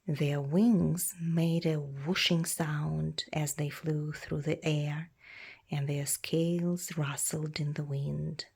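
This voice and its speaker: A woman reading a children's storybook aloud in a measured, expressive storytelling way. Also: deep voice, gravelly, husky. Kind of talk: read-aloud storybook narration.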